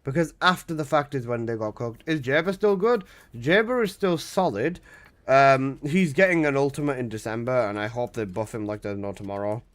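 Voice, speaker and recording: A man in his twenties, casually chatting on a live game stream, reading out chat messages and protesting loudly, with casual swearing. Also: mid-range voice, moderate pace. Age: 20s.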